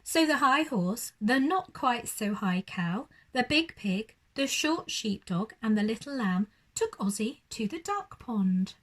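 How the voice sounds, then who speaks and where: A woman reads a storybook to young children at a slow pace. Her fairly high voice moves up and down expressively, in the manner of a primary teacher at storytime. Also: sing-song, fairly bright tone. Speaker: primary school teacher reading a bedtime story.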